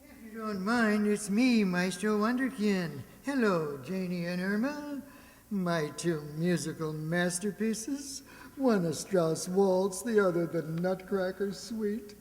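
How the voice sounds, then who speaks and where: Wisecracking broke maestro, acted by a man, mock-dignified, high-pitched, vintage radio comedy, echoing hall. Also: takes it slowly, darkish tone. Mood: courtly, wry. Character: wry, broke musician maestro, wisecracking gambler. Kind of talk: old-time radio comedy acting.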